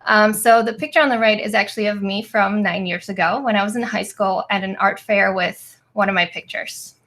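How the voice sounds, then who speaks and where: A woman's voice: mid-range voice, fairly even intonation, fairly quick, fairly bright tone. A woman in her twenties from Poland, telling her own story as a guest engineer over a video call from home, earnest, with many fillers. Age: 20s. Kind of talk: webinar talk, personal story.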